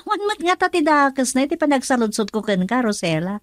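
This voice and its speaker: A woman's voice: sits high, very animated, fast talker, dry recording, clean studio sound. A woman with an Ilocano accent playing a chatty, inquisitive village woman in a radio drama. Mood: curious.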